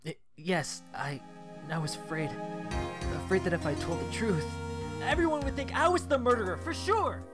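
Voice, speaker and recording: A woman's voice: medium pitch, moderate pace, close to an everyday voice. A woman voicing a naive, emotional young male student on trial, stammering and pleading in a dramatic fan dub. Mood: frightened, pleading. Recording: loud music behind voice. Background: loud music.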